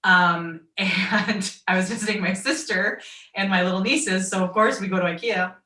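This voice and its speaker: Midwestern woman, low voice, chatty storytelling over a video call, sounds roomy and kinda far from the mic. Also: normal speed, pushed and bright. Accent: American Midwestern. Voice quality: naturally low voice. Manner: chatty storytelling, quoting others in asides. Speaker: guest voice actor and writer. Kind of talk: online fan Q and A.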